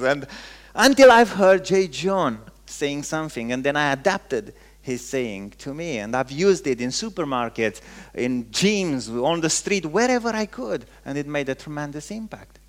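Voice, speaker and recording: A man preaching with a Romanian accent, his voice pitched well above the usual male range. He delivers the sermon as a pastor would, in short emphatic phrases, often pausing and restating a word to give it weight.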